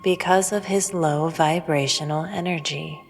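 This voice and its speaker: An actress playing a serene, preachy female alien spiritual teacher in an audio drama, speaking in a solemn, measured way. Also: sits low, fairly even intonation, vocal fry. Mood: stern. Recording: long reverb, music underneath.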